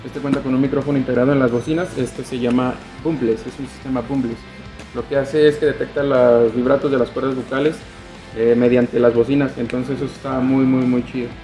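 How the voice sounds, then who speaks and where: A man's voice: medium pitch, flat delivery, a little fast, mellow. A young man with a Mexican Spanish accent, a sales adviser explaining a product casually, with frequent filler words. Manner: casual, many "este" fillers. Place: motorcycle gear shop, indoors. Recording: echoey shop with music.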